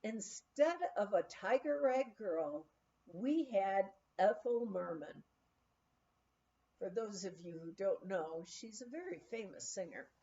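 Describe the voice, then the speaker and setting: Slow-paced, lively woman reading a memoir. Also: medium pitch, dull audio. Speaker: volunteer audiobook reader. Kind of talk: scripted narration of a memoir.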